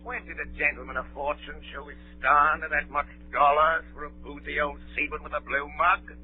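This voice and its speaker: A man's voice: a little high, little pitch movement, moderate pace, slight background noise, lightly acted. Man acting swaggering pirate ringleader, tinny old radio. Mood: blustering. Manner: theatrical, blustering. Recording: tinny 1938 radio broadcast recording.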